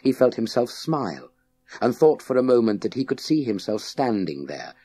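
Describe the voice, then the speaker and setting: A man reading a children's science fiction audiobook in a measured, suspenseful, dramatic way with deliberate pauses. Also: medium pitch, very animated, mellow, husky. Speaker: audiobook narrator. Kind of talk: scripted dramatic narration of a children's sci-fi story.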